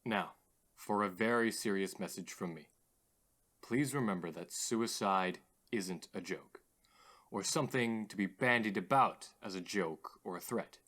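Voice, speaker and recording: An actor playing an earnest, philosophical radio host in an audio drama, formal and slightly theatrical. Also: male voice, on the low side, a little slow, fairly bright tone. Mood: grave.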